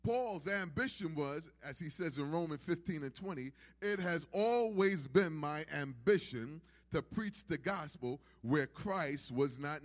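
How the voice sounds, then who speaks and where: A man preaching a sermon in an emphatic, repetitive preaching cadence. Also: fairly high pitch. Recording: long hall reverb.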